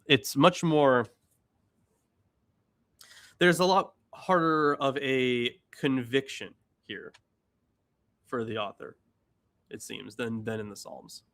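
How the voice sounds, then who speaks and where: A man's voice: mid-range voice, slow pace, vocal fry, pauses often, a bit bright. A man in a casual panel discussion, thoughtful and using many fillers.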